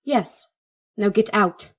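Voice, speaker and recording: A woman's voice: mid-range voice, takes it slowly, slightly rough. A woman playing an imperious, protective young princess, a king's daughter, in an audio drama. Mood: incensed, commanding. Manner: imperious, clipped commands.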